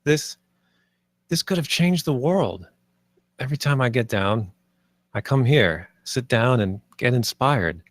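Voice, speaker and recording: A man's voice: mid-range voice, expressive, unhurried, pauses often, no echo, lightly acted. A man reading the part of a wistful, idealistic theme-park designer at a screenplay table read, earnest and understated.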